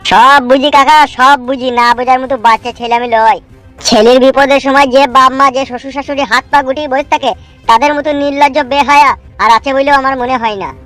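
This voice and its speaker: A woman's voice: high-pitched, fairly even intonation, fast, a bit bright, no room sound. A woman voicing a cocky, emphatic young village man in a cartoon. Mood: indignant, defiant. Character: brash, vengeful young village man, self-styled hero. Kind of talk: cartoon melodrama. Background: music.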